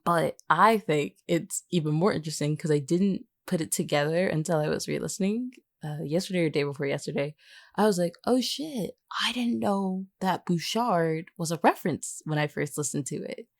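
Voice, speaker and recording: A woman's voice: low voice, moderate pace, no room sound, studio quality. A woman chatting casually as a podcast co-host, rambling and teasing as she goes. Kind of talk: casual behind-the-scenes podcast chat.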